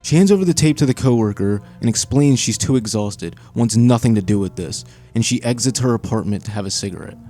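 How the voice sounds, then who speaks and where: A man's voice: mid-range voice, animated, fairly quick, hardly any pauses, dark tone, faint music behind. A man narrating a scripted video essay in a suspenseful storytelling manner. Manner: suspenseful second-person storytelling.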